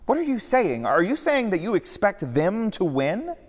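A man's voice: sits high, huge pitch swings, normal speed, long reverb tail, voice pushed up, full character voice. A man doing a sputtering, disbelieving young disciple. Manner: sputtering, broken phrases. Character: shaken young male disciple, stammering in disbelief.

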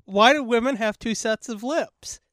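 A man's voice: very high voice, moderate pace, a bit bright, dry recording, clean studio sound. A man co-hosting a casual comedy podcast, bantering and swearing freely. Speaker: podcast co-host. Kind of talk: casual, profane podcast banter and joke reading.

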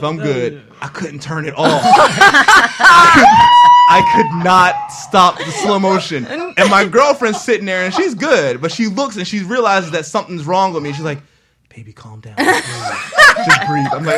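A man with a high voice swings his pitch up and down as he tells a story, laughing often. Loud noise sits under the recording. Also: huge pitch swings, normal speed. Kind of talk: casual storytelling chat.

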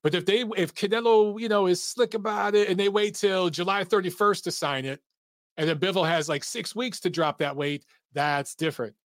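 A man with a fairly high voice hosts a relaxed boxing talk stream. He talks loosely and easily, reading out viewer comments. The sound is dry and close, with no room echo. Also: normal speed. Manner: casual, reads viewer super chats aloud. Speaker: boxing show host.

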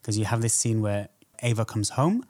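A man hosting a film podcast, discussing movies casually in a measured, slightly tentative way. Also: on the low side, lively intonation, darkish tone.

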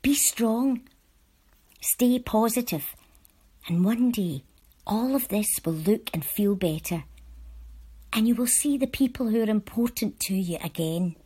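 A woman reading personal letters aloud from a script in a measured, earnest way. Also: a little high, animated, dark tone, slightly rough. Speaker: volunteer reader.

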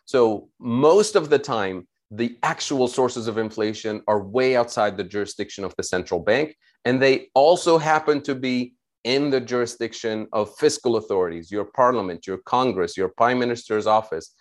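A man's voice: mid-range voice, normal speed. A man from Tunisia giving an academic presentation on economic policy, explaining in a methodical way with frequent restarts.

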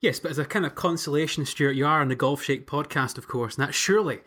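A man hosting a podcast discussion, asking long leading questions and teasing his guests gently. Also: on the high side, fast talker. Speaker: podcast host.

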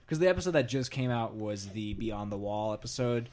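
A man's voice: lowish voice, expressive, fairly quick, darkish tone, slight background noise. A man co-hosting a podcast in casual, joking banter. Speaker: podcast co-host.

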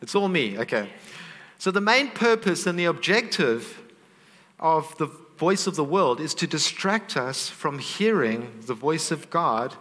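A man preaching in a reverberant church hall, his voice sitting a little high. He talks earnestly and conversationally and turns to the congregation with asides. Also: big pitch swings, measured pace, fairly bright tone. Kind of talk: sermon.

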